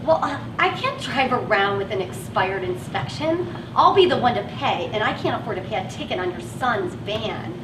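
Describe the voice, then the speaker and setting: A woman's voice: mid-range voice, expressive, normal speed, hardly any pauses, lightly acted. An actress on stage playing a frustrated working woman who drives a van and feels stuck in her job. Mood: worried, exasperated. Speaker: actress in a one-act play. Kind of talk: live stage play acting. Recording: echoey, distant, noisy live stage recording.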